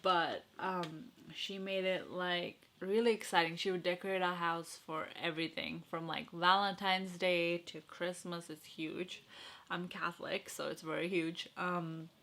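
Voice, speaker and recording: Young adult female vlogger, slightly lower register, informal and excitable, gushing delivery. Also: measured pace. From Sri Lanka and Los Angeles. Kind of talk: casual chatty vlog to viewers.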